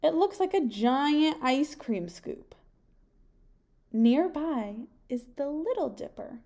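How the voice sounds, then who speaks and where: A young adult woman reading a picture book aloud like a teacher, pausing and putting questions to her young listeners. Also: high-pitched, expressive, mellow. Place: home kitchen, indoors.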